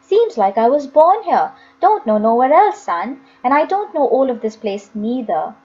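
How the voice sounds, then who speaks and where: Woman as a wistful bent old inmate, high-voiced. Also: sing-song, moderate pace, warm tone, highs cut off. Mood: wistful, confiding. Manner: rustic, uneducated speech. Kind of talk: dramatised audiobook reading.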